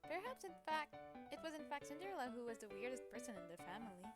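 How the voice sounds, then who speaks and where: A woman's voice: sits high, very animated, fast talker. A woman streamer reading a visual novel aloud, acting out the lines and adding her own asides. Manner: acts out lines with ad-libbed asides. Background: game music.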